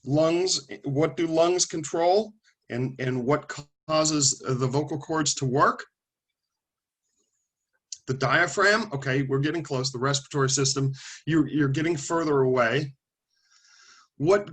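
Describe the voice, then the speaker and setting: A man's voice: a little high, unhurried, a bit bright. Man lecturing a class, thinking aloud. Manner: conversational, thinking aloud with restarts and asides. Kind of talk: classroom lecture with student questions.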